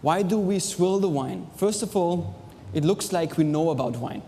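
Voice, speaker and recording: An Austrian-born man whose English has an American sound, hosting a wine tasting before a live audience in short, pausing phrases with dry jokes. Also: high voice, mellow, slight background noise. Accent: American-learned English over an Austrian base. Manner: showman's pauses, chopped phrases, dry jokes. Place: large hall with a live audience. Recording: reverberant hall, faint ambience.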